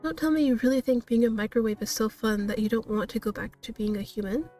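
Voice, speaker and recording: A woman's voice: on the high side, quick pace, warm tone, recorded from a distance, faint music behind, close to an everyday voice. A woman voicing an earnest, determined young spell-caster heroine from a visual novel in a coaxing, chatty way.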